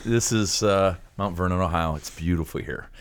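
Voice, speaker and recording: Low-voiced American male podcast host. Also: moderate pace. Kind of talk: casual ministry talk show.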